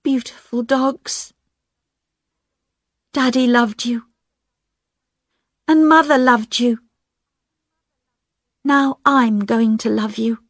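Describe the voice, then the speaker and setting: A distraught young daughter, her voice pitched very high and shaking with tears. She pleads and lashes out slowly and deliberately, recorded close and dry with no room sound. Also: a bit bright, dry recording, exaggerated voice. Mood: tender. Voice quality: high, tearful and shrill. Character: young woman, grieving, fearful and bitter daughter. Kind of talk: storybook reading.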